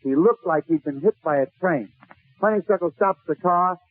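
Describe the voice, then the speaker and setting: A man's voice: sits high, moderate pace, dry recording. A male comedian telling funny stories in a 1940s radio style, with theatrical, short, punchy phrases. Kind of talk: 1940s radio comic storytelling. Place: radio broadcast. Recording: tinny, narrow-band 1940s radio recording.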